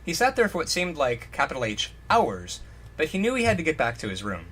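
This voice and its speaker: A man's voice: on the high side, wildly swooping pitch, brisk, fairly bright tone, a little hiss or hum. A man co-hosting a comedy podcast, reading a story aloud and mocking its wording in casual banter. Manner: jokey, mocks the story's wording. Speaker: podcast co-host reading fan fiction aloud.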